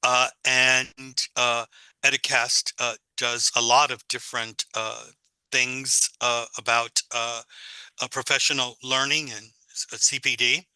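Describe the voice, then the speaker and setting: A man with a very bright, forceful voice hosts a casual online panel for teachers. He rambles a little, leaning on "uh" between thoughts. The sound is dry and close, as from a video call. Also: mid-range voice, level tone, measured pace, clean tone, no echo, poor audio. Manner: rambling with many uh fillers. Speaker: host of an online teacher-development event.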